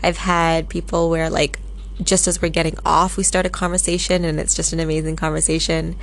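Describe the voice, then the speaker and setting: A woman's voice: low-pitched, level tone, fairly quick, slight background noise, poor audio. A young woman from Canada hosting her own podcast, telling a travel story in a chatty, rambling way with small laughs. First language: Tamil. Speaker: podcast host. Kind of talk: casual storytelling monologue.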